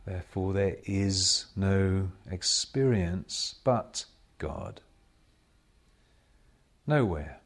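A man leading a guided meditation as a spiritual teacher, speaking in measured, solemn short phrases with long pauses between them. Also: low-pitched, expressive. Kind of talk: slow guided meditation talk.

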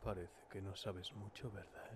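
A man playing a menacing, creepily controlling martial-arts hero husband in a cartoon parody, speaking slowly and lapsing into breathy whispers. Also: low voice, level tone, slight background noise. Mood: cold suspicion. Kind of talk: cartoon parody fandub acting.

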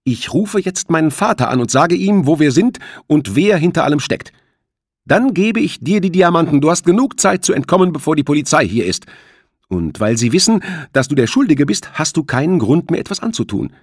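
Man voicing a defiant teenage boy, pitched high. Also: very animated, a little fast, no echo, studio quality. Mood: firm bargaining. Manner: urgent, commanding. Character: defiant, nervy teenage boy bargaining for his life.

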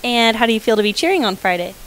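A woman's voice: a little high, expressive, rapid-fire, fairly bright tone, a little hiss or hum, poor audio. A teenage girl asking classmates short questions in a school hallway, holding a handheld mic. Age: teens. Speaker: student interviewer. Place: school hallways and gym indoors.

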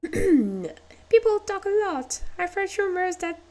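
A woman with a French accent voicing a chatty bearded male store clerk in a game with a high, squeaky put-on voice. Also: very animated, slow pace, a little mellow, full character voice. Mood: warning.

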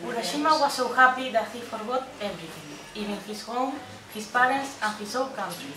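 A woman's voice: fairly high pitch, expressive, brisk. A young woman giving a scripted storytelling narration for a puppet show. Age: young adult. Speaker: student narrator. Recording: distant echoey room, background crowd.

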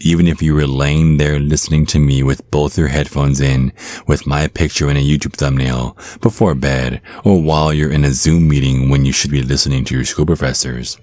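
A man's voice: rumbling low, a little mellow, no room sound. A man voicing a suave, theatrical vampire stage magician, teasing and pompous, in an ASMR roleplay.

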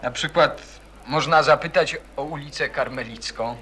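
A man's voice: a little high, normal speed, sharp tone. A man playing a moody, teasing teenage boy in a radio play, nonchalant, laconic and secretive. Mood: sly. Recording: noticeable background noise and ambience.

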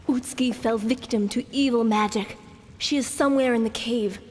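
Casting: a woman to play an imploring, scared young disciple in a horror game, voice fairly high, reverberant. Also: steady tone, moderate pace, lightly acted. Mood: anguished pleading. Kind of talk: dubbed horror game acting. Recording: long reverb with clear noise.

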